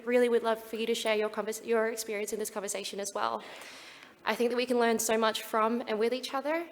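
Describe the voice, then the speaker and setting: A woman's voice: on the high side, long reverb tail. A young Australian woman giving an earnest, prepared talk at a conference. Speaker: conference speaker.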